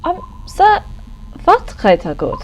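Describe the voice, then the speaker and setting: Submarine crewhand, radio drama, played by a woman: very high, animated, whiny, hesitant, slow delivery. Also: slight background noise, heavily acted. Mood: nervous. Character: bored, nervous, grumbling submarine crew member. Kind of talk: radio play acting.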